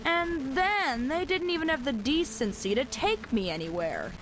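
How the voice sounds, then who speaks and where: A woman's voice: high-pitched, lively intonation, normal speed, a little hiss or hum, low-quality recording. A woman playing a stranded survivor in an audio drama, rambling and joking wryly into a radio in a conversational way. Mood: wry storytelling. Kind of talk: audio drama monologue sent as a radio broadcast.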